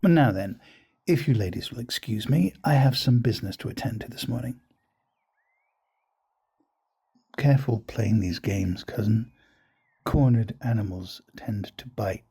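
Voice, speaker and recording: Man acting a suave scheming aristocrat, mellow and gravelly. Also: fairly low pitch, heavily acted. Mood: polite, veiled menace. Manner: formal, suave, measured. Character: scheming aristocratic gentleman, smooth, coldly ambitious. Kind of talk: scripted audio roleplay drama.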